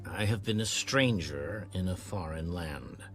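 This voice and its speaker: A grave prophet-leader for scripture drama, very low voice, slow delivery, music beneath. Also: male voice, lively intonation. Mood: sombre. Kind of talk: audio Bible drama acting.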